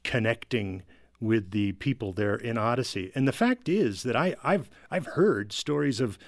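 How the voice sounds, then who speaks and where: A man's voice: medium pitch, lively intonation, normal speed, no echo, clean studio sound. A man speaking as a guest in a casual panel discussion, describing things in a conversational way. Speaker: guest from an audio drama team.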